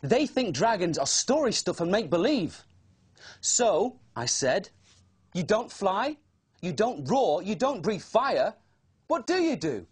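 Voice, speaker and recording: Man voicing an eager, husky young storybook dragon. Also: sits high, sing-song, takes it slowly, pitched above the performer's own voice, full character voice. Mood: eager, puzzled. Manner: wide-eyed, eager, childlike storytelling. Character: excitable, curious young male dragon narrating his adventure. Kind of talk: children's storybook reading.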